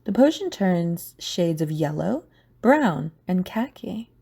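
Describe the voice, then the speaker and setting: A woman's voice: fairly low pitch, sing-song, takes it slowly, creaky, warm tone, breathy edge. A woman narrating a scripted audio drama.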